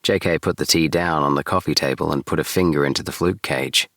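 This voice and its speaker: A man with a very deep voice narrating an audiobook. He reads calmly and steadily. The sound is bright and dry, close to the mic. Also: fairly quick, no room sound. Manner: calm, steady. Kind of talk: audiobook reading.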